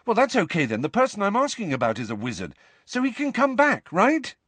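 An impatient teenage boy wizard, played by a male narrator in a storybook reading. The voice is pitched well up and swings about eagerly. He is stubborn, insistent and emphatic, pressing every question. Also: lively intonation, measured pace, a bit bright, no echo, full character voice. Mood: hopeful. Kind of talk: audiobook character voice.

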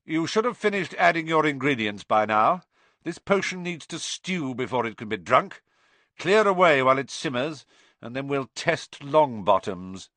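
Storybook villainous teacher, played by a male narrator: icy, cutting, commanding, swooping pitch, dry close sound. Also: big pitch swings, measured pace, a bit bright, no echo. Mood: commanding, contemptuous. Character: cold, sneering, menacing male schoolteacher.

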